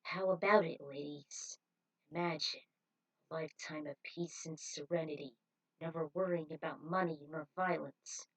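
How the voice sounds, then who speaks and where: A woman voicing a calm, silky male cult member with a persuasive, condescending manner, in an amateur story reading. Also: low voice, moderate pace, voice pushed down, rough recording. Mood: smooth coaxing.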